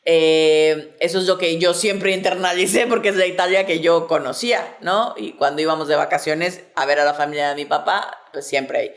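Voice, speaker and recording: A woman's voice: deep voice, moderate pace, few pauses. A woman in her early forties with a Mexico City accent, chatting casually and reflectively about herself. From southern Mexico and Mexico City. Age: early 40s. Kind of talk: casual personal chat.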